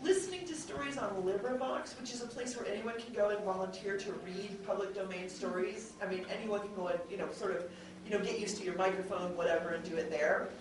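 A woman's voice: medium pitch, quick pace. A woman hosting an audio drama panel at a convention, rambling and correcting herself as she goes, often laughing. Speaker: podcast host and audio drama writer. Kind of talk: panel discussion. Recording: very echoey room, distant mic, faint noise.

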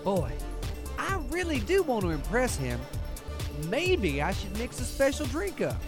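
A woman's voice: a little high, huge pitch swings, unhurried, over loud music. A woman playing a naive, eager young ugly duckling in an audio drama, chatty and guileless.

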